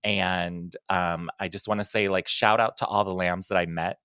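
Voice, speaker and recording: A man's voice: sits low, fairly even intonation, normal speed, vocal fry, sharp tone, clear, resonant tone, no room sound, clean studio sound. A man co-hosting a casual podcast, effusive and campy, calling people "honey.". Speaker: podcast co-host. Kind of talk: casual chat. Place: Los Angeles.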